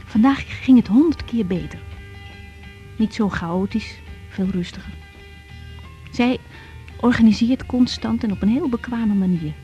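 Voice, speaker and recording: An actress playing a reflective, self-doubting young doctor in a radio drama, musing inwardly as in a diary. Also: female voice, on the high side, a little slow, long pauses, warm tone, soft background music, natural voice. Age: young adult. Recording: dull, band-limited sound.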